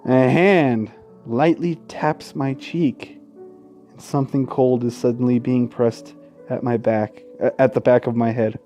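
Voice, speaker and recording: A man's voice: mid-range voice, fairly even intonation, measured pace, warm tone. A man reading a visual novel aloud as a gaming host, tripping over words, correcting himself and dropping casual asides and swearing. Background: faint game music.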